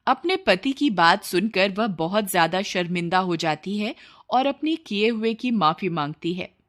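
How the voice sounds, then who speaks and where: A woman's voice: medium pitch, lively intonation, a little slow. A woman reading scripted narration for a moral cartoon story as its storyteller.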